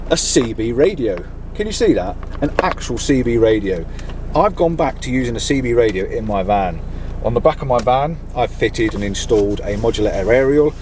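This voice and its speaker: A man's voice: medium pitch, a little mellow. Chatty middle-aged British man, noisy vehicle. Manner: chatty, enthusiastic, informal. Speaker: vlogger reviewing gear. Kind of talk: casual product review to camera. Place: inside a campervan. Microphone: camera on a mount in the van. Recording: background noise inside a vehicle.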